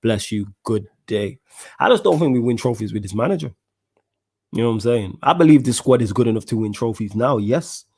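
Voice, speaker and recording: A man's voice: medium pitch, animated, fairly quick, darkish tone, slightly rough. A young man with a Mancunian accent hosting a casual football podcast, chatting in slang and answering viewer comments. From Manchester, England. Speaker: podcast host.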